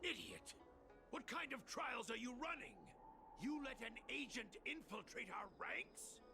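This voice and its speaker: A man's voice: very high-pitched, expressive, unhurried, vocal fry, over music, full character voice. An actor playing a fanatical, scornful male cult leader in a video game, with theatrical, grandiose delivery. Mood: furious, scornful. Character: fanatical male cult leader, former spearman turned zealot. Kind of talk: video game villain acting.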